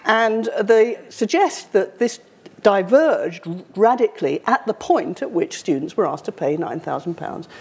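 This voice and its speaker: An older woman speaks from a lectern in a university lecture hall, her voice husky and a little croaky. She talks in a wry, conversational way, full of fillers, with a voice of middling pitch. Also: lively intonation, unhurried, darkish tone. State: slightly croaky. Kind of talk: public lecture.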